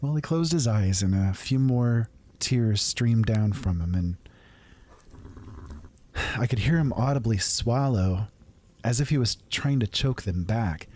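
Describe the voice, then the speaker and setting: A man telling a first-person fantasy story in a calm, unhurried, conversational way. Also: mid-range voice.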